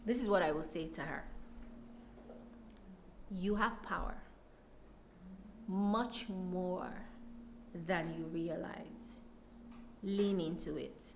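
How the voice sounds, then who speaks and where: Nigerian woman, expressive conversational storyteller. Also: on the low side, takes it slowly, pauses often, slight background noise. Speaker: interview guest. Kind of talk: reflective conversational interview.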